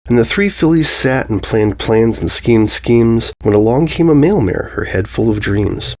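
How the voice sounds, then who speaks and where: A man with a medium-pitched voice reads a rhyming children's tale. His cadence is measured and singsong, with very lively rises and falls. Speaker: story reader. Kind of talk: rhyming children's storybook narration.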